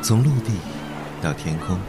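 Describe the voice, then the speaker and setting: Low-voiced, wistful whale narrator. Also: male voice. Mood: tender, contented. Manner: calm, unhurried, reflective storytelling. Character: solitary, gentle whale who narrates his own wandering. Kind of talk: radio drama monologue. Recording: music under the voice.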